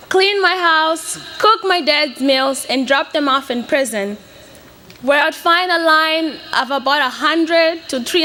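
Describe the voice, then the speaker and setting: A woman's voice: high voice, sharp tone. A young woman in her twenties from Mozambique, whose first language is Portuguese, giving an earnest prepared speech to an audience. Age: 20s. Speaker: student speaker.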